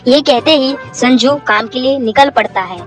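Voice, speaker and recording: A woman's voice: high voice, moderate pace, soft background music. A woman narrator reading a scripted moral story for children.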